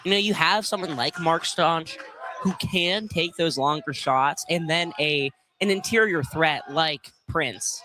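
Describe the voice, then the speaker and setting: A man's voice: a little high, unhurried, sharp tone, rough recording. A man giving conversational color commentary on a live basketball game. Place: college field house during a game.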